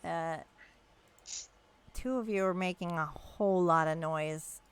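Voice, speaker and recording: A woman running a tabletop game as game master, relaxed and laughing often, slowing down to narrate the scenes. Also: on the low side, clear, resonant tone. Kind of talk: running a tabletop game session over voice chat.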